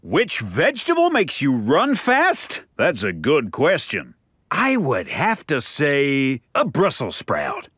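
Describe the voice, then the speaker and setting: A man's voice: fairly high pitch, wildly swooping pitch, slow, slightly rough, dry recording, clean studio sound, exaggerated voice. A man voicing a pompous, heroic cartoon potato superhero, declaiming grandly. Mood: pompous, self-assured. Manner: bombastic, declamatory. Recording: loud music behind voice.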